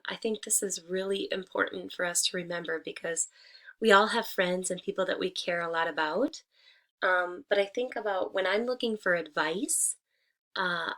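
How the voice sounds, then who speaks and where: A woman's voice: mid-range voice, fairly bright tone. A woman speaking as a teacher in a read-aloud lesson for young children, addressing them directly and explaining things simply.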